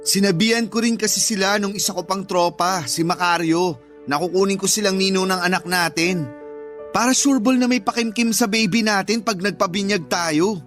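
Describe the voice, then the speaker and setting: A very high-pitched, defensive husband. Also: male voice, normal speed, a bit bright. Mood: breezy, defensive. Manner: dismissive, defensive, argumentative. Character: insecure, prideful, defensive working-class young husband. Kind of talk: Tagalog radio drama acting. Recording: music bed behind the voice.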